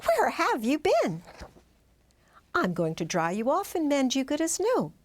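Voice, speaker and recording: A woman voicing a loving older grandmother in a storybook, affectionate and gently cautioning. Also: high voice, huge pitch swings, unhurried, pitched above the performer's own voice, full character voice. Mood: fond surprise. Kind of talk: children's storybook character voice.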